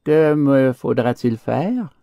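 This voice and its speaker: Man acting lean hungry wolf, pitch lifted, animated swings, eager, questioning, storybook. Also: slow pace, creaky, no room sound, studio quality. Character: starving lean wolf, humble yet freedom-loving.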